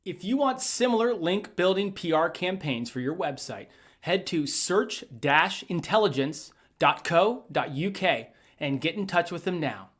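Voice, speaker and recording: Brisk friendly sponsor read. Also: medium pitch, expressive, fairly bright tone.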